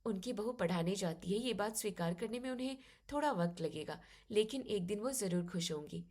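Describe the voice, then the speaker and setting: A woman playing an educated young daughter-in-law who is soft-spoken, polite and firm as she reasons in an audio story. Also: medium pitch, lively intonation, a little fast, natural voice. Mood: earnest.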